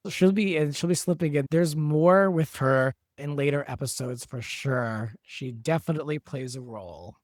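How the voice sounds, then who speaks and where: A man's voice: fairly high pitch, moderate pace, few pauses, no echo. Man in dry, close-sounding casual discussion. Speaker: soap opera writer and producer, guest. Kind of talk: casual behind-the-scenes discussion.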